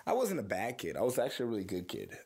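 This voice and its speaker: A man's voice: fairly low pitch, racing, husky. A young man from the Houston area, talking casually and profanely as a musician being interviewed, rambling through stories. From Houston, Texas area. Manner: relaxed, profane, rambling storyteller. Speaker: musician guest.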